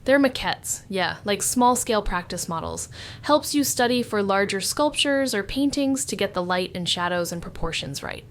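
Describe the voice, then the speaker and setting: An actress playing a female amateur sleuth in a noir audio drama, introspective and steady, with deliberate narration and dry, understated dialogue. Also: mid-range voice, a little hiss or hum, lightly acted. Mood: thoughtful.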